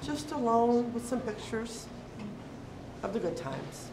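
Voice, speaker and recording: Slow woman reading dramatically in echoey room. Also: a little high, sing-song. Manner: deliberate, pausing, short phrases. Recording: echoey room with background noise.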